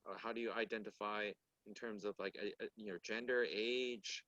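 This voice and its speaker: Male guest, native Korean speaker, lowish voice with a tense bright edge, dry close sound. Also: fairly even intonation, no room sound, muffled recording. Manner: measured, thoughtful, frequent restarts. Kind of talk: casual discussion.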